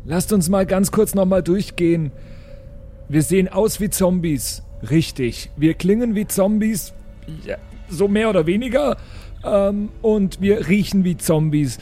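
A man's voice: high voice, a little slow, mellow, soft background music. A man talking casually as a player in an improvised tabletop role-playing game, planning with the group. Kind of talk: casual in-character planning talk.

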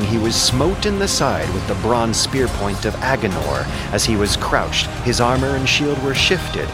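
A man narrating an epic audio drama as a scripted story, with dramatic, solemn delivery. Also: on the low side. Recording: loud music and sound effects behind. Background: loud dramatic music.

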